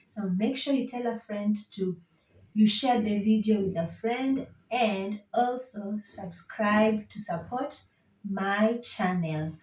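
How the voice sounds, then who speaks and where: Slow, patient Kenyan woman teaching. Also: mid-range voice, darkish tone, far from the mic. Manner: patient, repeats each phrase slowly. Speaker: Swahili teacher. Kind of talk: online language lesson. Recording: roomy echo, set back from the mic.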